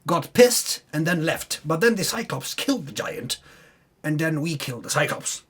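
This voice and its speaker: A man's voice: a little high, big pitch swings, moderate pace, a bit bright, slightly rough. A man giving a dramatic reading of a tabletop game recap, theatrical in his telling, with wry asides. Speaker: reader of a tabletop campaign recap. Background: music under the voice.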